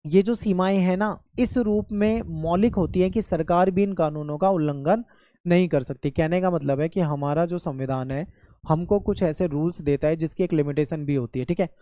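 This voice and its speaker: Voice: medium pitch, normal speed, dark tone, no room sound, studio quality. A teacher giving a patient classroom lecture on a textbook chapter, explaining step by step, checking in often and mixing English terms into the explanation. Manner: patient, repetitive explaining with frequent "okay" check-ins.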